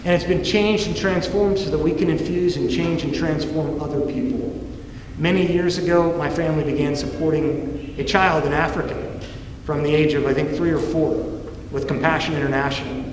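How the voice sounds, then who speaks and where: A man giving a formal faith talk in an earnest, preacherly way, slowing down for emphasis. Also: fairly high pitch, steady tone, reverberant, big-room sound, distant mic, a little hiss or hum, poor audio. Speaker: ministry president giving a talk.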